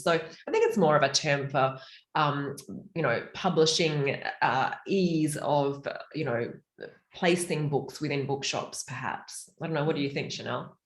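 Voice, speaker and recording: A woman with an Australian accent, an author chatting in a virtual panel, animated and full of fillers. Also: low-pitched, echoey room.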